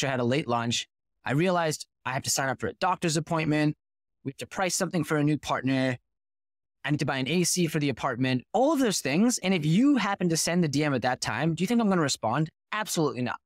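A man in his thirties, a startup founder, telling stories in an articulate, animated way as a podcast guest on a video call. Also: a little high, brisk, no echo, clean studio sound. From United States. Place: small room with curtain backdrop. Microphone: headset with close mic.

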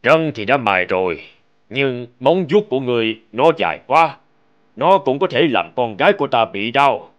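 A man's voice: mid-range voice, very animated, dull audio, close to an everyday voice. A man voicing a shrewd, protective old woodcutter father in a cartoon fable, cautious and wily. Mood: sly, firm. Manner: cautious, wily, measured bargaining.

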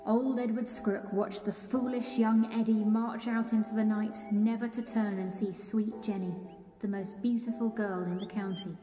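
A woman's voice: mid-range voice, steady tone, moderate pace, warm tone, lightly acted. A woman playing a wry, dramatic storyteller narrating an audio drama. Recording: reverberant, distant, music behind.

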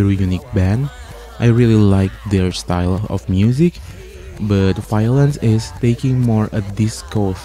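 A man hosting a countdown review as a voice-over, speaking haltingly and stretching key words. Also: on the low side, dark tone. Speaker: host of an anime music ranking channel. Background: music playing under the voice.

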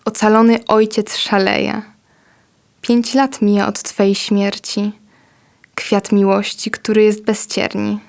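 A woman reciting a poem in a slow, solemn manner. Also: medium pitch, level tone, creaky, a little mellow. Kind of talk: poetry reading.

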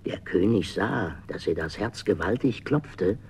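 A man's voice: low-pitched, expressive, a little slow, darkish tone, a little hiss or hum. A man narrating a fairy tale in a dramatic, scripted storytelling style.

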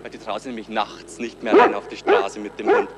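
An actor playing a cheeky, defiant dog walker with a Bavarian accent, brash and quick to retort, in a 1970s radio crime comedy. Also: male voice, mid-range voice, wildly swooping pitch, creaky, over a noisy crowd. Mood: indignant. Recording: 1977 radio play, noisy outdoor ambience.

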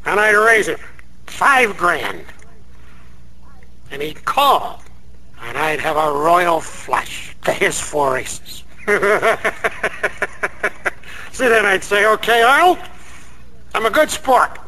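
An actor playing a meek, dreamy American night clerk in a radio drama, earnest and naive in manner, star-struck by gamblers. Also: male voice, on the high side, pushed and bright, a little hiss or hum, heavily acted, poor audio.

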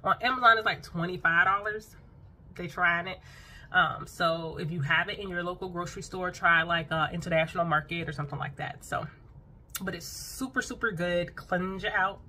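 A woman's voice: lowish voice, normal speed, sharp tone. A woman in her thirties chatting casually to her phone camera from a bedroom at home, laughing often and calling her viewers y'all. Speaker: vlogger. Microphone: phone camera close up.

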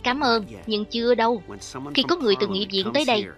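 A woman's voice: on the high side, quick pace. A woman reading a scripted cartoon voice-over translation, speaking for every character herself. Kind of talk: scripted cartoon dub narration, one reader voicing every character over the original. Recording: loud film soundtrack underneath. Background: original cartoon audio and music.

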